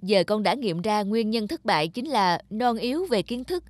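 A woman's voice: mid-range voice, expressive, normal speed, no room sound, studio quality, natural voice. A woman playing an earnest, determined young male fish farmer in a cartoon. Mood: stubborn resolve. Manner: earnest, resolute. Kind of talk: cartoon dub acting.

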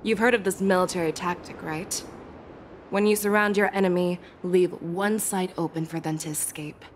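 A woman plays a composed female war general in a fantasy game, low-pitched and measured, explaining tactics like a seasoned hunter. She drops to a hush at moments, steady and sure, with faint ambience beneath. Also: slight background noise, close to an everyday voice. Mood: commanding. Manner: measured, lecturing, hushed at times. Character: commanding female general, calm sharp strategist. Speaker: military general. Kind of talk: game cutscene voice acting.

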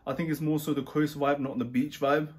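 A man chatting casually about a trip, rambling and relaxed with many fillers and restarts. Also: a little high, a little fast. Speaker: travel vlogger. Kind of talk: casual chat.

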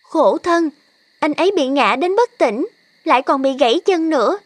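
High-voiced, caring young daughter. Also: female voice, animated, moderate pace, dry recording. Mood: concerned. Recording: loud music under the voice.

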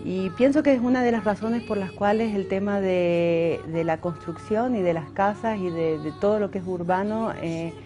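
A warm, mellow-voiced Argentine woman talks through her work as a painter in a reflective interview. She often stops to think, filling the gaps with soft "eh" sounds. Faint music sits underneath her. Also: mid-range voice. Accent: Argentine Spanish. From Mendoza, Argentina. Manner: thoughtful, pauses often with "eh" fillers.

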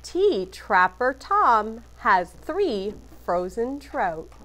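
A woman teacher reading a picture book aloud to children, deliberately emphasizing letters and phrases. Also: on the high side, big pitch swings, fairly bright tone, a little hiss or hum.

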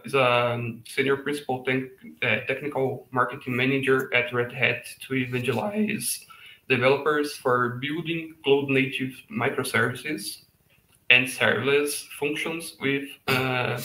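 Bright-voiced host reading an intro. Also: male voice, medium pitch. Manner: reads haltingly with fillers. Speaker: meetup host. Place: home room. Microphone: headset. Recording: echoey room on a video call.

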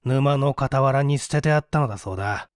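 A man voicing a gruff, dismissive husband and father with blunt, brusque speech. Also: mid-range voice, fairly quick, no echo, studio quality, lightly acted. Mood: grim.

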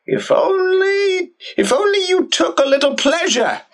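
Fussy old male servant, high wailing voice, swoops all over, stammery audio play acting. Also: sing-song, exaggerated voice. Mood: wistful, wailing. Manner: halting, stammering, wailing, drawn-out words. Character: fussy, sentimental elderly male servant, pleading and exasperated.

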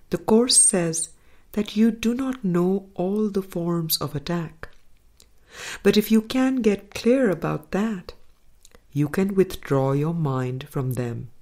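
A woman reading a spiritual book aloud in a measured, deliberate manner, pausing between phrases. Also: on the low side, darkish tone. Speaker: audiobook reader.

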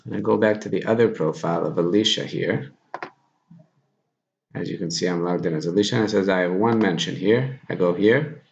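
Low-voiced man giving a casual screencast tutorial. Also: gravelly. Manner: informal, thinking aloud while demonstrating. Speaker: tutorial presenter. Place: small plain room indoors. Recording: dull lo-fi audio, background noise.

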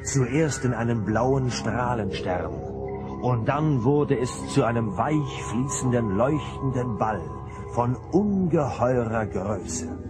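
A man narrating a radio play as a calm, solemn storyteller with a deliberate delivery. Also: mid-range voice, loud music behind the voice. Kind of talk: scripted narration.

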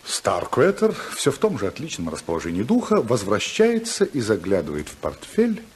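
An actor as the urbane narrator of a 1950s radio play, measured and dryly ironic in his storytelling. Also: lowish voice, wildly swooping pitch, darkish tone. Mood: wry. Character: wry, urbane male storyteller. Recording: hissy 1950s radio recording.

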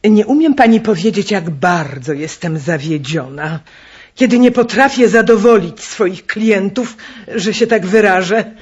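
An actress playing a stout, middle-aged spirit medium in a radio play, loud, common and self-important. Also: female voice, medium pitch, expressive, unhurried, dull audio. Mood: gushing, fretful. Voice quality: husky.

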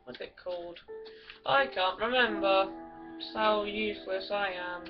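A woman's voice: medium pitch, a little slow. A woman giving rambling, crude and giggly commentary while playing a video game. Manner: giggly, crude, silly and meandering. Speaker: gamer. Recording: lo-fi with loud music behind.

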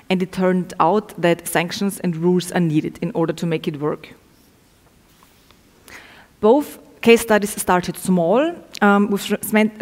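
Young female researcher, careful and slightly halting academic talk, mid-pitched voice in a reverberant room. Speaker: researcher presenting study findings. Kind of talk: prepared academic presentation. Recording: echoey, reverberant room sound.

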